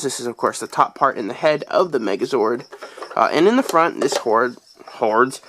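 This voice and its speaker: A young man who has been sick, his voice deeper than usual, rambling through a casual toy review with lots of "uh"s. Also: a bit bright, slight background noise. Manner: rambling, chatty, lots of fillers.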